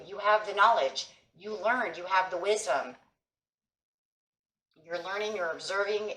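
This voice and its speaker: A woman with a hoarse voice from a sinus infection, giving a casual tarot reading and speaking encouragingly to viewers as friends. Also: medium pitch, fairly bright tone, echoey room, rough recording. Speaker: tarot reader. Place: table with tarot cloth, indoors.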